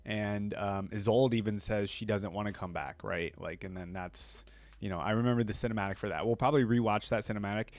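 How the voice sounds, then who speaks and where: A man's voice: on the low side, brisk. A man hosting a reaction video, reading lore aloud and adding casual commentary.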